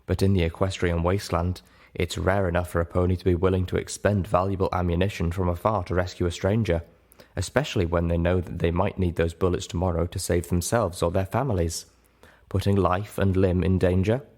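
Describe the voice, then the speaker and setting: A man voicing a composed, kindly female unicorn with a measured, articulate and firm delivery in an audiobook story. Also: low-pitched, little pitch movement, a little mellow. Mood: sincere, reassuring.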